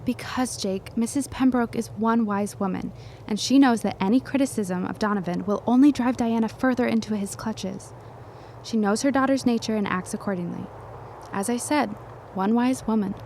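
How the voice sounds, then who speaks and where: A woman's voice: a little high, normal speed, a little mellow, a little hiss or hum, lightly acted. A woman playing a wry, strong-willed young woman in a period audio drama. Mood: wry, knowing.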